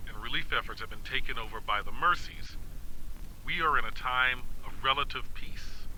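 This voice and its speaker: A man's voice: medium pitch, sharp tone, a little hiss or hum, rough recording. A man playing a dignified retiring general. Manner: formal, measured speechmaking. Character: retiring older male military general, dignified. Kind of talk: audio drama speech.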